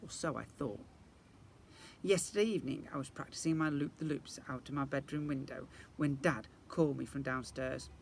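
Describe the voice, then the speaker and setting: A man's voice: mid-range voice, normal speed, vocal fry. A man narrating a children's storybook like an infant school teacher, expressively and directed at young listeners. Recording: faint background noise.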